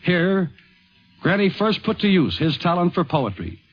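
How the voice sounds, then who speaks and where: A male narrator reads a scripted radio documentary in 1950s style. His voice sits fairly high and moves in lively sweeps. The sound is a dull vintage broadcast with some music behind it. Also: measured pace.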